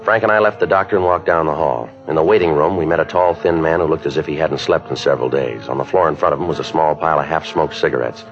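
An actor playing a stoic, businesslike police detective in a clipped, deadpan 1950s radio drama style. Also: male voice, rumbling low, fast, few pauses. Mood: matter-of-fact. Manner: deadpan, clipped, matter-of-fact. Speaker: lead actor and narrator. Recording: dull 1950s radio recording with music.

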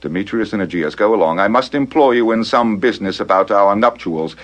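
An actor playing a commanding ruler of Athens in Shakespearean audio theater, with a stately, authoritative delivery. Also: male voice, fairly low pitch, animated, fairly quick, close to an everyday voice. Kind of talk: full-cast Shakespearean audio theater acting.

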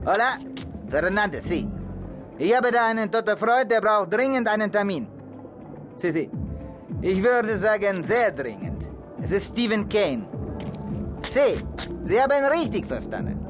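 A slick club owner, high-voiced and very animated. He is theatrical and wheedling, a shady businessman in an audio drama with music behind him. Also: unhurried, pauses often. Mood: businesslike. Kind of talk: German audio drama acting.

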